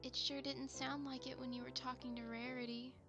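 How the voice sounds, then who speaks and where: A woman's voice: high-pitched, flat delivery, brisk. A woman playing a small, shy, inquisitive pony filly in an amateur audio drama. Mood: doubtful, curious. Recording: dull lo-fi mic, music behind.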